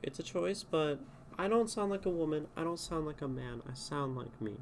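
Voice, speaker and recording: A man with a slightly high voice critiques a poem in loose, casual speech, reading lines back and trying edits as he thinks aloud. He is recorded on a home streaming mic, with a faint hum behind him. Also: moderate pace. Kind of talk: casual spoken poetry critique.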